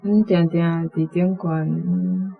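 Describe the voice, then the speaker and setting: A woman's voice: on the low side, fairly even intonation, drawn-out words, gravelly, breathy edge. A young woman with a Taiwanese accent attempting translations as a student contestant, in a dull recording. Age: young adult. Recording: dull lo-fi sound, faint noise.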